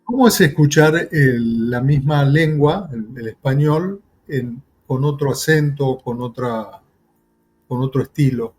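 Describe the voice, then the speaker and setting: Man, Argentine Spanish accent, interview host, middle pitch; measured, hesitant questioning. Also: darkish tone. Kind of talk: conversational interviewing.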